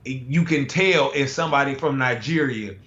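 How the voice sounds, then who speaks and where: A man's voice: medium pitch, moderate pace, fairly bright tone. A man from the United States, a guest artist talking casually in a laid-back, slangy way with lots of fillers and restarts over a video call. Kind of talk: casual conversation. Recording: roomy, dull lo-fi call audio.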